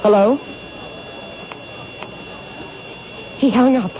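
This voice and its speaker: A woman's voice: a little high, fairly quick, lightly acted. An actress playing an anxious, earnest woman in a 1970s radio mystery drama, speaking tensely and defensively. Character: anxious, earnest female bookstore owner under threat. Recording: muffled, noisy old radio broadcast.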